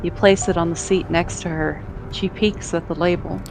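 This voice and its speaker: A woman's voice: fairly low pitch, steady tone, normal speed, creaky, darkish tone, slight background noise, poor audio. A woman reading scripted second-person narration as the narrator of an amateur story dub.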